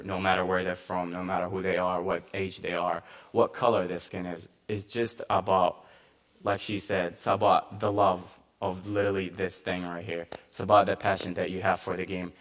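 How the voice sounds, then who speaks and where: A young man giving an earnest, halting personal testimony at a conference, with frequent restarts and fillers. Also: low voice, a bit bright. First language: Arabic. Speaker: panel speaker, refugee volunteer.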